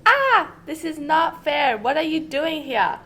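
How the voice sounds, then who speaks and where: A woman playing a spiteful, indignant witch with shrill, threatening delivery in a children's fairy-tale radio play. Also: very animated, measured pace, crowd noise.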